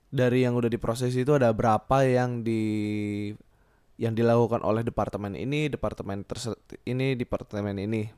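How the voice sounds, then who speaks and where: A young man talks casually alone as a podcast host, rambling and halting as he thinks aloud and repeats himself. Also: lowish voice, a little mellow, smooth, no room sound, studio quality. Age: young adult. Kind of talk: casual solo podcast monologue.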